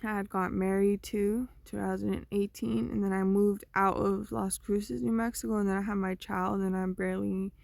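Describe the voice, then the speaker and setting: A young woman vlogging, talking through her thoughts in a slow, meandering, conversational way that often trails off. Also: mid-range voice, flat delivery, vocal fry, darkish tone, no echo. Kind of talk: rambling personal monologue.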